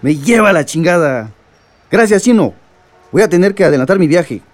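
An actor playing a gruff, scheming, foul-mouthed man tied to a mining company in a radio drama. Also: sits high, animated, moderate pace, faint music behind. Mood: irritated.

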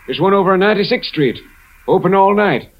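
A man's voice: high voice, lively intonation, quick pace. An actor playing a polite, talkative young elevator boy in a 1940s radio drama. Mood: helpful. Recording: dull 1940s radio recording.

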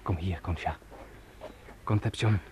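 An actor playing a tender, insistent seducer in a Dutch radio play, coaxing in a hushed, intimate manner. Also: male voice, deep voice, a little slow, slight background noise. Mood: insistent, seductive.

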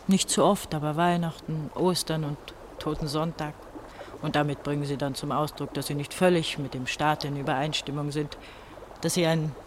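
A woman's voice: deep voice, natural voice. An actress as a despairing feverish young woman, faint noise. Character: despairing eighteen-year-old East German girl, feverish and bitter. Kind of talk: German radio play acting.

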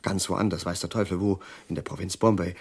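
Agitated eccentric chemist, slightly husky. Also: male voice, low voice, steady tone, brisk. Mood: brooding, agitated. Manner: abrupt, exclamatory, ironic, swinging into rants. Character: eccentric male chemist, agitated, brooding, prophesying war. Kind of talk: German radio play acting.

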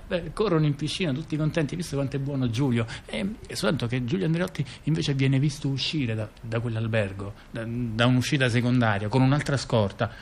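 A man with a mid-pitched voice performs a story as if making it up on the spot. He repeats words, restarts phrases and keeps checking in with short tag questions. There is some noise behind him. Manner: halting, repetitive, stammered restarts and frequent tag questions. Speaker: monologue performer in a two-person radio theatre dialogue. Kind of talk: improvised-sounding theatrical storytelling.

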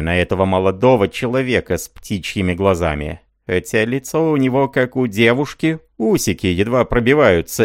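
A man's voice: on the low side, dry recording, studio quality. A man reading an audiobook, voicing a scheming middle-aged male spy in a suave, persuasive manner.

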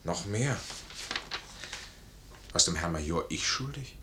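An actor playing a proud, honourable and impatient army major, formal and commanding, in a classic radio play. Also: male voice, low voice, animated, slow, background noise. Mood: dry, reckoning.

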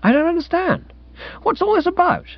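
A breezy young upper-class English gentleman, performed by a man in a comic audiobook. The voice is high and swoops about with chirpy indignation and old-fashioned slang. The sound is dry and slightly dull. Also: sing-song, a little fast, no echo, full character voice. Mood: feigned innocence. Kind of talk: comic audiobook character acting.

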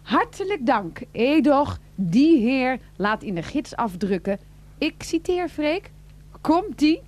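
High-voiced, sharp-tongued mocking woman. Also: sing-song, slow pace, heavily acted. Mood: mocking, challenging. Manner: sarcastic, theatrical, bossy. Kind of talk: satirical radio play acting.

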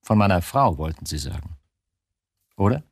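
Probing detective chief, husky, lively intonation, troubled, insistent questioner, radio drama. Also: male voice, sits low, moderate pace, no echo, exaggerated voice. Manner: interrogating, insistent short questions.

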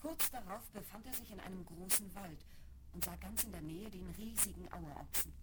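A woman's voice: medium pitch, normal speed, very noisy. A woman giving scripted storybook narration for a children's fairy-tale audio play. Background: music.

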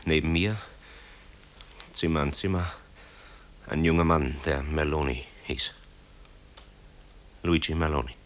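An actor playing a tormented grown son, a composer haunted by wartime guilt, in a grave, halting radio drama delivery. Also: male voice, rumbling low, steady tone. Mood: tense, confiding. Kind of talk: German radio drama acting.

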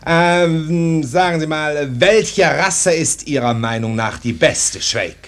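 A haughty, high-pitched army lieutenant. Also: male voice, takes it slowly, a bit bright. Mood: musing. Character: curt, haughty male army first lieutenant, easily impatient. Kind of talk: radio play acting.

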